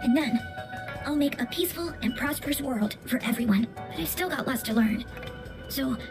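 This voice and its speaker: A woman's voice: high voice, moderate pace. A woman voicing an earnest, determined little orphan girl in a video game. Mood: pleading, earnest. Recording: music behind the voice.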